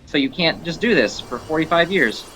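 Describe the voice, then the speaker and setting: A man giving casual, rambling commentary on gameplay in an informal, animated way. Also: fairly high pitch, normal speed, loud music behind the voice, poor audio. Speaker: gameplay showcase host.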